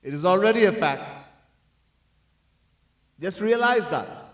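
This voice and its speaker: A man's voice: high-pitched, animated, a little slow. A man teaching as a swami in a devotional discourse, earnestly repeating his key points and at times chanting verses in song. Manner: breaks into sung chanting of verses. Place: temple hall. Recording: echoey room, mic set far off.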